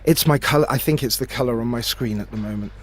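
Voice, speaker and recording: A man giving conversational live pool commentary in measured phrases, often repeating a word as he thinks. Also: medium pitch, slight background noise. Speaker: sports commentator. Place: remote commentary from his own location.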